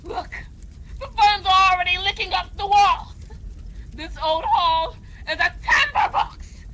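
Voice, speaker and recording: A woman's voice: extremely high pitch, unhurried, bright, forceful tone, a little hiss or hum, heavily acted, rough recording. A woman playing a deranged, vengeful young male villain in old-time radio drama, melodramatic and menacing. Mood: defiant, triumphant.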